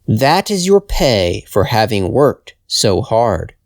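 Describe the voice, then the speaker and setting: A man voicing a kindly old magical woman in a fairytale, speaking gently and without hurry. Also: lowish voice, sing-song, takes it slowly, dry recording, clean studio sound, heavily acted. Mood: pleased, kind.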